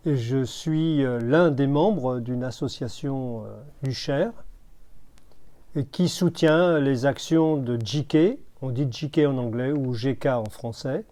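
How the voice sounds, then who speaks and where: A man's voice: mid-range voice, slow pace, warm tone. A man, vice-president of a support association, answering interview questions with careful explanations.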